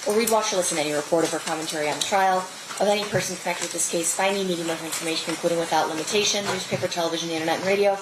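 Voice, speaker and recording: An American woman presiding as judge, speaking formally and procedurally in measured courtroom instructions and rulings. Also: fairly low pitch, steady tone. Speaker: judge presiding over a criminal trial. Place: indoor courtroom. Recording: noisy, echoey live courtroom feed.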